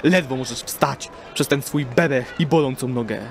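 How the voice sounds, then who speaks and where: An actor playing a bald, mocking, pipe-smoking street idler in an audio drama, with sneering colloquial talk. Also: male voice, medium pitch, normal speed, a little hiss or hum, natural voice. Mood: scornful.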